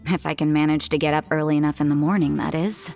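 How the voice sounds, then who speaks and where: A woman's voice: deep voice, fairly even intonation, fast, gravelly, a little mellow. A woman voicing a cool, aloof young nun with a dry, blunt manner. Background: faint background music.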